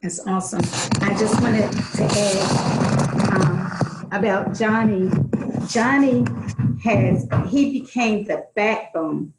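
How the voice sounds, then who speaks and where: A woman's voice: medium pitch, reverberant, very noisy. A woman introducing her adult education students on a video call, proud and encouraging. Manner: warm, proud, slow-paced encouragement. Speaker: adult education program coordinator.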